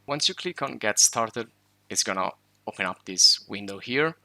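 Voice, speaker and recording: Italian-native male tutorial host, gravelly and sharply bright, dry close mic, casual explanatory delivery. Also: fairly low pitch, moderate pace, no echo. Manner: relaxed, explanatory, with fillers and self-corrections. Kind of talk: screen-recorded tutorial walkthrough.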